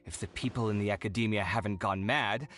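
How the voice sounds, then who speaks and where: A man's voice: on the low side, brisk, a bit bright, lightly acted. An actor playing a proud, easily exasperated young male architect who talks in an indignant, theatrical way. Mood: snide. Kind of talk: video game dialogue.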